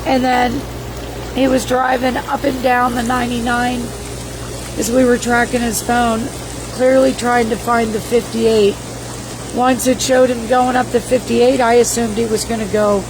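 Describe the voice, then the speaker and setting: Hoarse scratchy-voiced woman, kinda high, swears a lot, rambles, noisy background. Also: flat delivery, a little slow. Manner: rambling, profane, exclamatory. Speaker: livestream host. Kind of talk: casual livestream recap reading viewer comments.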